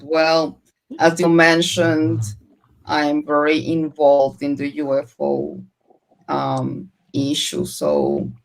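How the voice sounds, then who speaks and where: A woman's voice: low-pitched, vocal fry, a little hiss or hum, poor audio. A middle-aged woman from Colombia, a native Spanish speaker with a mixed Spanish and Hebrew accent, chatting as a panel guest. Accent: mixed Colombian Spanish and Israeli Hebrew. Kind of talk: casual personal storytelling.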